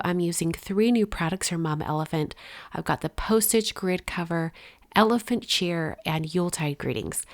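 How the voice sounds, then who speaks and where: A woman's voice: lowish voice, no echo, clean studio sound. A woman hosting a card-making channel, narrating a casual step-by-step voice-over while congested from a head cold. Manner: chatty, relaxed, explains step by step.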